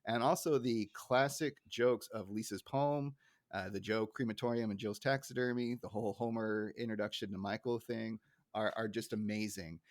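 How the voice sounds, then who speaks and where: A man's voice: on the low side, fairly even intonation, normal speed, no echo. Casual male podcast host, close dry sound.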